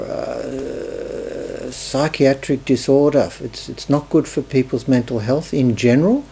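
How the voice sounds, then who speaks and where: A man, a health professional, speaking in a measured, thoughtful way. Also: medium pitch, dark tone, husky.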